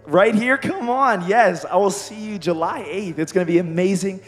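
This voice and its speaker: A young man with a worn-out, hoarse voice, preaching a lively youth sermon and calling out to the crowd. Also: sits high, normal speed, faint music behind. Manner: energetic, calls out to the crowd. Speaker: youth pastor.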